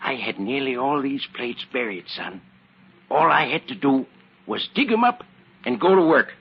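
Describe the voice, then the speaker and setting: An actor playing a feeble, folksy old counterfeiter with a cackling, raspy voice in an old-time radio drama. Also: male voice, medium pitch, expressive, normal speed. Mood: confiding. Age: older. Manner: folksy, rambling, chuckling to himself. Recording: muffled old radio recording.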